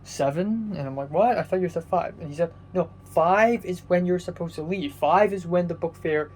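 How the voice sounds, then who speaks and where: A man's voice: high voice, normal speed, a little mellow. A young man from Hong Kong whose first language is Cantonese, casually telling a rambling story with many fillers, restarts and casual swearing. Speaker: vlogger.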